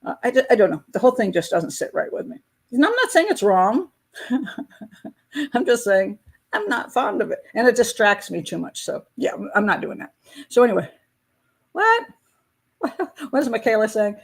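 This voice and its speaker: A woman's voice: a little high, sing-song, normal speed, long pauses, husky. A woman in her sixties hosting a casual live chat with her patrons, talking in a candid, chatty way. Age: 60s. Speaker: host of a crime-profiling channel.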